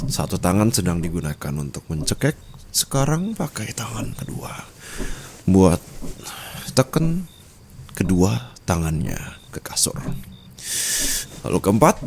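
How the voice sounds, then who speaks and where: A man's voice: sits low, darkish tone, slight background noise, exaggerated voice. A man playing a dominant, teasing rich husband in an intimate ASMR roleplay, taunting and possessive, mixing Indonesian with English. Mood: commanding, instructive.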